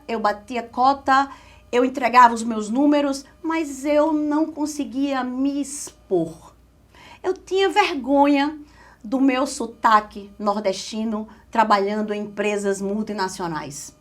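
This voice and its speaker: A woman in her forties from Caruaru with a Pernambuco Brazilian accent, telling her career story as an interview guest in an expressive, emphatic way. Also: sits high, slow. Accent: Pernambuco Brazilian Portuguese. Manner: expressive, emphatic, lively storytelling with repeated phrases. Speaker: guest, communication specialist.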